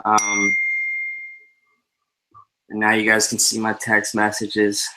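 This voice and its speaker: A man co-hosting an online talk show speaks in a very bright, cutting tone. He rambles and jokes loosely and sounds tired. He is talking into a headset over a video call from home. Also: on the low side, monotone, slow pace, rough recording. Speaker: co-host. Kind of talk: casual live-stream chat.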